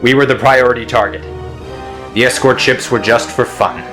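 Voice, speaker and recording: A man playing a steady, curt and weary squadron commander in a sci-fi audio drama. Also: medium pitch, normal speed, over music, lightly acted. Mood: calm, commanding.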